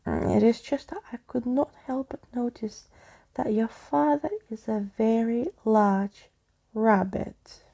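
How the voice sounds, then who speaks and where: A woman's voice: on the high side, slow pace, gravelly, mellow, voice pushed up, heavily acted. A woman voicing a courtly, eccentric male skeleton from a storybook, with a pompous, old-fashioned manner. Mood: politely hesitant.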